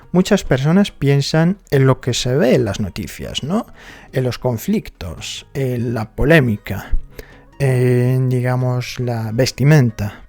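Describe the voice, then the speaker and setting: A man's voice: mid-range voice, a little mellow, no echo. Moroccan-accented man, casual explainer. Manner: conversational, many fillers like "digamos" and "¿no?". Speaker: vlogger.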